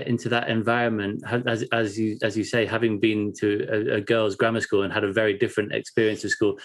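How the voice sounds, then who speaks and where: Man asking probing interview questions. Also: medium pitch. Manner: probing, restarts mid-question.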